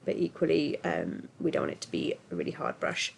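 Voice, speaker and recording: A woman, her voice thick and stuffy from a cold with a gravelly edge. She talks through a software tutorial in a chatty, easygoing way, full of ums and little self-deprecating asides. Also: a bass voice, wildly swooping pitch, fairly quick. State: congested from a cold. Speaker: tutorial host. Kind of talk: casual screen-recorded software tutorial.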